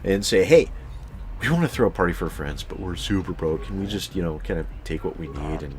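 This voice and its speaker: Man, deep voice, casual storyteller, rambling delivery, frequent swearing, exaggerated emphasis. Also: expressive, fairly quick. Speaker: YouTube storyteller host.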